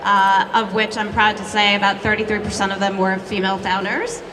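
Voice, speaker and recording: A woman's voice: medium pitch, steady tone, a little fast, crowd noise. A woman panelist introducing herself and summing up her career in venture and startups. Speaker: startup platform executive, panelist. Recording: echoey hall, distant mic, noise.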